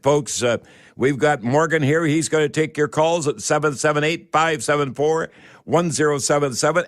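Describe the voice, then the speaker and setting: A man's voice: mid-range voice, dry recording, clean studio sound. A man hosting a live radio call-in show in a folksy manner, repeating his catchphrases.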